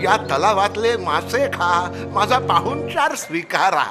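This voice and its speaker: A woman voicing a proud, foolish old heron in a children's animated fable, effusive and drawn-out. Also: medium pitch. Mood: effusive, insistent. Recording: loud music under the voice.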